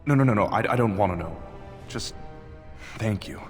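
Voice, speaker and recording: An actor playing an earnest, anxious dwarf warrior in a video game, speaking haltingly. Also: male voice, fairly low pitch, lively intonation, close to an everyday voice. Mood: nervous, then relieved, grateful. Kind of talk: video game character acting. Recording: long reverb, music behind.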